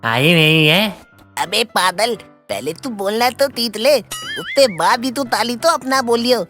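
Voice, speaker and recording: A squabbling lad with a babyish lisp and a lively, swooping delivery for a comic cartoon. Music plays faintly behind. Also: female voice, a little high, measured pace. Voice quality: lisping, mispronounces consonants. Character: bickering village lad with babyish mispronunciation.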